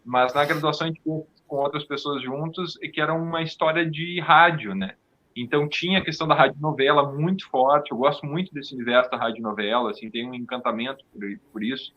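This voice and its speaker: Man, fairly high voice, halting casual interview talk, muffled lo-fi sound. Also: pushed and bright. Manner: halting, repeats words. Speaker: podcast guest, audio drama maker. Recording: dull, lo-fi sound.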